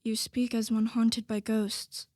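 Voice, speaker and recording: A woman's voice: on the high side, little pitch movement, slow, darkish tone, dry recording, studio quality. A woman playing a grave, concerned male sea captain in a radio play, with formal, earnest delivery. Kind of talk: theatrical radio play acting.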